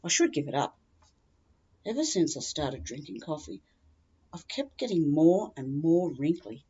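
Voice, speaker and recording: A woman's voice: deep voice, sing-song, measured pace, dark tone, breathy edge, highs cut off, full character voice. A woman with an Australian accent playing a chatty, tactless older woman who rambles on obliviously in a humorous monologue. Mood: nosy, rambling. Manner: rambling, matter-of-fact, oblivious, opinionated.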